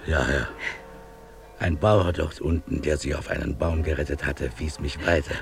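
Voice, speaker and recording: An actor playing a humble animal keeper in a radio drama, halting and deferential as he implores. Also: very deep voice, steady tone, gravelly, faint music behind. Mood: humble, urgent pleading. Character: tall, strangely dressed animal keeper, humble and pleading. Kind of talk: mid-century German literary radio drama acting.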